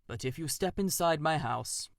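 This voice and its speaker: A man voicing a stern, formal male owl with a deep, sonorous voice, speaking slowly in a storybook reading. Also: lively intonation, vocal fry, no room sound, studio quality. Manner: formal, slow and measured.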